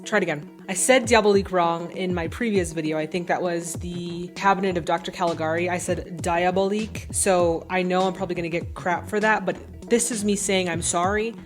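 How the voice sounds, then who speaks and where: Woman film vlogger just chatting to her viewers, lowish voice, chatty and informal, music in the background. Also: steady tone, a little fast, few pauses. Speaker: film reaction vlog host. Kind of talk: casual chat to viewers, film review.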